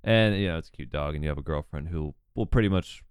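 A man's voice: extremely low pitch, fast talker, clean tone, dry recording. A man from Georgia in a laid-back, joking casual chat, swearing now and then. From Georgia, south of Atlanta.

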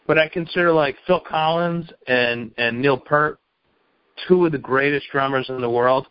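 A man's voice: medium pitch, normal speed, no echo. A man guest in a casual chat, talking loosely with lots of fillers and the odd curse. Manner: chatty, hesitant fillers, swears casually. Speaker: author guest. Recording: dry, dull lo-fi sound.

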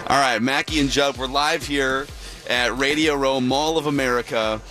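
A man with a mid-range voice co-hosts a sports radio show, talking casually and excitably. He rambles and restarts his sentences a lot. Faint music sits underneath his voice. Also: medium pitch, normal speed. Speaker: radio co-host. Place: broadcast booth at a busy media row.

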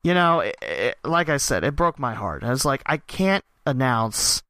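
A man with a hoarse, worn-out voice, reading off wrestling tournament results and then reflecting casually as a show host. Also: mid-range voice, normal speed. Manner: steady listing of names and results. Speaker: podcast host and wrestling announcer.

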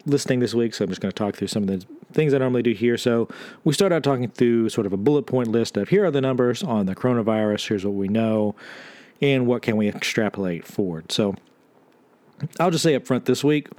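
A man's voice: medium pitch, fairly quick, a little mellow, no room sound. A man hosting a podcast solo, giving news commentary in a conversational, explanatory way with informal wording. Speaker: podcast host.

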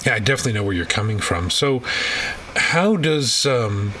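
A man with a fairly low, very bright and forward voice hosts a casual interview. He rambles and hesitates as he feels out his sentences. He sounds a little way from the microphone, with faint noise behind him. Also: expressive, normal speed. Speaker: podcast host. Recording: distant mic, faint background noise.

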